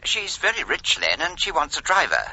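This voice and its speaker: An actor playing a brisk, jovial British former boss who coaxes and cajoles, in a radio play. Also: male voice, a little high, wildly swooping pitch, bright, forceful tone, breathy edge, full character voice. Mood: jovial, coaxing. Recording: dull, muffled radio play recording.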